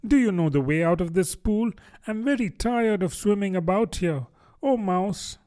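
Storybook young girl, played by a man: high, lilting, polite, earnest, curious chatterer. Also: animated, moderate pace, mellow. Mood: curious, hopeful. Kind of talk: storybook character voice.

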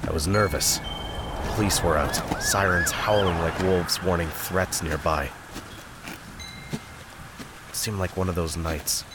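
An actor playing a guarded, brooding convict on death row in an audio drama, speaking tersely and with resignation. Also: male voice, low-pitched, fairly even intonation, slow pace, pauses often, heavy background noise. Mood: brooding, haunted. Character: weary, guarded male death-row convict haunted by his memories. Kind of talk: audio drama acting and narration. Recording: music behind the voice.

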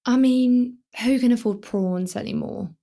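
A woman's voice: a little high, unhurried, creaky, warm tone. A woman with a British accent playing a brash, easily distracted teenage girl in a naturalistic radio play, blunt and colloquial. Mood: casual, flippant.

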